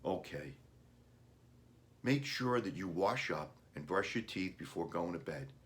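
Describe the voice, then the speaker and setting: A man reading as a gentle, apologetic mother. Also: sits low, lively intonation, a little slow. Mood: gently firm. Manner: soothing, regretful. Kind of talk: children's storybook reading.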